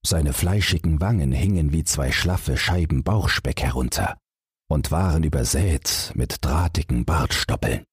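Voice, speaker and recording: A man reading a bedtime fairy tale as a scripted audiobook, with measured, dramatic storytelling. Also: extremely low pitch, husky, no room sound, clean studio sound. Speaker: audiobook narrator.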